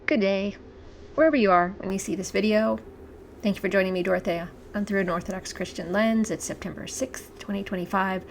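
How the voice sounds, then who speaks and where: A hoarse woman chats to camera in a halting, meandering way, often pausing to clear her throat. Her voice sits at a middling pitch with a gravelly fry, and faint background noise runs underneath. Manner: chatty, halting, with frequent restarts and laughs. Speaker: channel host. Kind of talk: casual talk to camera.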